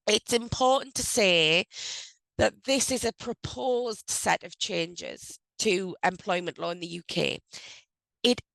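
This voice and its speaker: Woman, middle-pitched, gravelly and croaky from a cold, casual HR presenter on a dry video-call mic. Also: takes it slowly, a bit bright, no room sound, poor audio. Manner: loose and conversational, with frequent ums and self-corrections. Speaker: HR advisor hosting an online briefing. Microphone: video call microphone.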